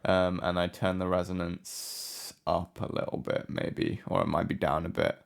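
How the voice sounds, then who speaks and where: A man giving a casual, unscripted software walkthrough as a tutorial presenter, low-key and hesitant in manner. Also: level tone, moderate pace, creaky. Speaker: music production tutorial presenter.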